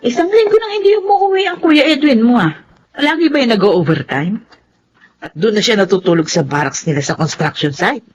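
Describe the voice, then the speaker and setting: Woman acting high-pitched accusing Filipino mother. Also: animated, a little fast, a little mellow, soft background music, lightly acted. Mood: suspicious, scolding. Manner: emotional, accusing, swooping delivery. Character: grieving, accusing mother of a poor family, hot-tempered. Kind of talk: Filipino radio melodrama acting.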